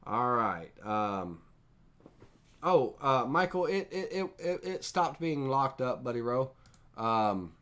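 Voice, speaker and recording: A man's voice: medium pitch, very animated, slow, fairly bright tone. Stuffy, nasal man with a head cold. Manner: casual, rambling, talks to chat viewers by name. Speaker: host and instructor. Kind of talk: live-streamed software tutorial.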